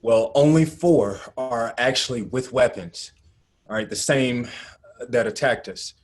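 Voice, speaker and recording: A man playing a grim, sad activist in a dramatic table read, halting and earnest in an understated way. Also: mid-range voice, natural voice. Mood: tense, planning. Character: Gus, grim, sad male activist planning an escape. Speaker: actor.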